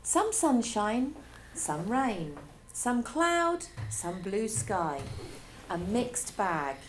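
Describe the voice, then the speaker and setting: A female teacher reading a children's story aloud, with expressive delivery and dramatic pauses. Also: medium pitch, a little hiss or hum.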